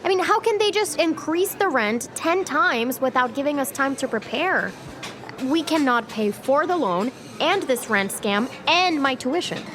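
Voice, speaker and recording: Sharp young woman, high-pitched, skeptical. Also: expressive, unhurried, a crowd in the background. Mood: skeptical, agitated. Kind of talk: naturalistic audio drama acting.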